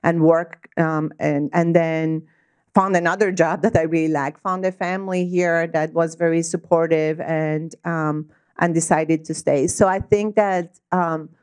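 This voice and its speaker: A woman's voice: sits low, steady tone, measured pace, a little mellow, clear, resonant tone. A woman giving a talk and taking questions at a club meeting, conversational, with many fillers and restarts. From Spain. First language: Spanish. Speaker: guest speaker. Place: meeting room.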